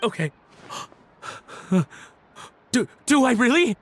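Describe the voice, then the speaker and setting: A man's voice: very high voice, big pitch swings, takes it slowly, slight background noise, full character voice. An actor playing a nervous, cowed male suspect in a video game, stammering and pleading, eager to please.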